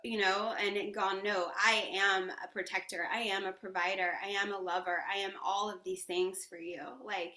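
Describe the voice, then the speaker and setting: Bright, effusive woman in a roomy space. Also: medium pitch, level tone, normal speed, few pauses. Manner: effusive, laughs often, spiritual talk. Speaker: yoga teacher and podcast guest. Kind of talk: casual interview chat. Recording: roomy echo.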